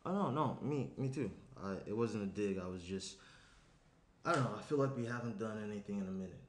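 A low male voice in an echoey room with some noise behind it. A young actor plays an attentive, slightly anxious boyfriend in a naturalistic drama, speaking conversationally with small hesitations. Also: lively intonation, brisk, close to an everyday voice. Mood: awkward. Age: young adult. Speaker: actor in a screenplay table read. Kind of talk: naturalistic dramatic script reading. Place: small indoor room around a table. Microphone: shared tabletop microphone. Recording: roomy echo, noticeable room noise.

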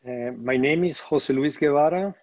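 A man's voice: on the high side, normal speed, a little mellow, no echo. Hesitant man from Venezuela on a call. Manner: hesitant, many fillers and restarts. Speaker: panelist, operations executive. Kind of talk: webinar panel answer. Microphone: video call. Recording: muffled video call audio.

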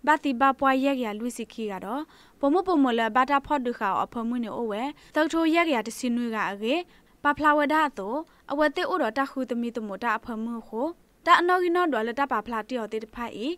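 A woman newsreader with a fairly high voice reads a scripted radio report. The recording is dry and close, with no room around the voice. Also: animated, a little fast, fairly bright tone, no room sound. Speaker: news reader. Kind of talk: scripted radio news reading.

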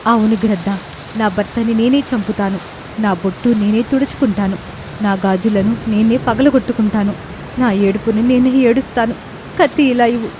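A woman's voice: on the high side, moderate pace, natural voice. A woman playing an aggrieved, vengeful wife sparrow in a bird cartoon, melodramatic and pleading, over hissy noise. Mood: grimly determined.

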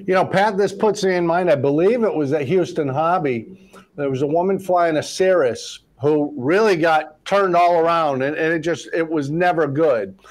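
A man's voice: fairly high pitch, normal speed. An older man moderating a panel talk show, speaking conversationally as he takes viewer questions on a video call. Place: indoor office, on a video call.

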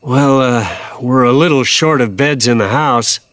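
An actor playing a reserved, kindly middle-aged farmer in an audio drama, hesitant and polite. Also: male voice, medium pitch, unhurried, fairly bright tone, no echo, close to an everyday voice. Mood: polite, reluctant.

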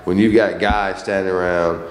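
A male podcast host with a lowish voice agrees with his guest and sums up his points. He sits at a table in a small room. The room adds echo, a long reverb and a faint background hiss. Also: steady tone, normal speed. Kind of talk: podcast conversation.

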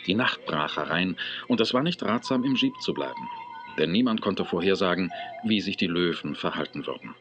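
Deep, expressive male story narrator. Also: normal speed, over music. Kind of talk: scripted children's story narration.

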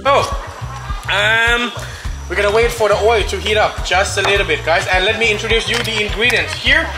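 A man's voice: high-pitched, moderate pace, music in the background. A man leading a casual hands-on cooking demonstration, talking directly and encouragingly to his learners. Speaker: cooking class instructor. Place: open-sided cooking class kitchen.

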